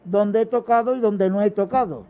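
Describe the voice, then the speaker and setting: A man's voice: high-pitched, moderate pace, music in the background. A man telling of his work in casual, anecdotal radio talk. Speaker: roadside assistance worker on radio panel. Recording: dull sound with faint background noise.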